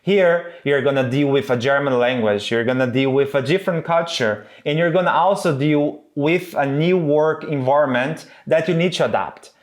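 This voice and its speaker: Male co-host and career coach, Brazilian accent, slightly high voice, non-native English phrasing, explainer-video delivery. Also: normal speed, hardly any pauses. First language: Portuguese. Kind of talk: explanatory video guide to camera.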